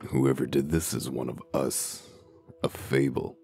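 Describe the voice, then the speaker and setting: An extremely deep, gravel-throated wolf detective acted by a man, cynical and blunt. He delivers every line deadpan, as a humorless investigator in a dramatic comic dub. Soft music plays underneath. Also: measured pace, heavily acted. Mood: dry, blunt.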